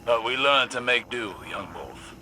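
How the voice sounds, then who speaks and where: A man playing a weary, reflective warrior mentor in a video game, speaking in measured, deliberate, poetic phrases. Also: mid-range voice, lively intonation, bright, forceful tone, slight background noise. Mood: solemn, reflective. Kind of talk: dramatic video game monologue.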